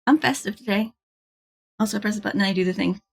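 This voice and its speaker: Woman game streamer, casual and giggly, mid-pitched with a raspy, creaky edge. Informal live chat. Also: quick pace. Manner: bubbly, giggly, chatty greetings. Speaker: livestreamer hosting a gaming stream.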